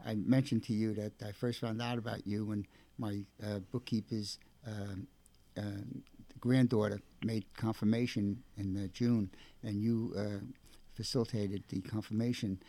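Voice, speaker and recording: A man's voice: fairly low pitch, level tone, unhurried, mellow. Effusive man hosting religious radio. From Brooklyn, New York. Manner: effusive, gushing. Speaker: radio show co-host.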